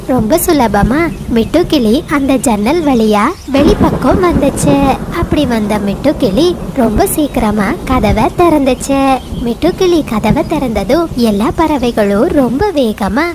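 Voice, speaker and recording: A woman tells a children's cartoon story in a clear, even voice. Her pitch sits very high. Loud background noise runs under the whole recording. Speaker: narrator.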